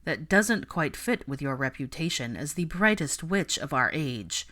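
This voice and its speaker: A guarded, snide man in an audiobook story, performed by a woman. The voice sits low and moves slowly, each phrase clipped, cold and deliberate. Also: sing-song, sharp tone, exaggerated voice. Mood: sardonic.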